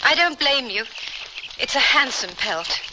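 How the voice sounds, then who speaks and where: A woman's voice: sits high, animated, measured pace. An actress playing a prim, strong-willed, pious lady adventurer with formal, genteel speech in an old radio drama. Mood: composed, direct. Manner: formal, genteel, precise phrasing. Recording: noisy old radio recording.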